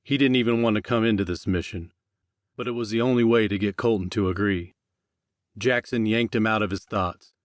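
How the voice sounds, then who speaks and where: A male audiobook narrator reads scripted fiction in a fairly low voice. The recording is dry, with hardly any room sound. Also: fairly quick, dry recording.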